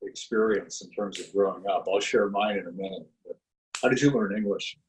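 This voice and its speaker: A man, from the Netherlands, hosts a casual interview. He speaks a bit low and keeps things conversational, with lots of nods and affirmations. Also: fairly quick, low-quality recording. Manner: friendly, with many "you know" fillers and frequent affirmations. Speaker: podcast host.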